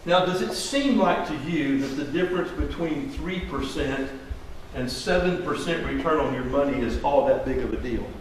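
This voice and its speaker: A man's voice: mid-range voice, animated, normal speed. A middle-aged man, a financial advisor, giving a folksy classroom presentation and asking the audience questions. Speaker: financial advisor teaching a seminar. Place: large echoey room. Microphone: room mic from a distance. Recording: echoey room, distant mic, faint hiss.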